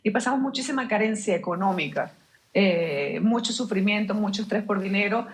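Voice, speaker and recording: A woman's voice: medium pitch, lively intonation, normal speed. A woman guest explaining her ideas fluently in an interview, emphatic and full of rhetorical questions. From Venezuela. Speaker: guest, mindset mentor.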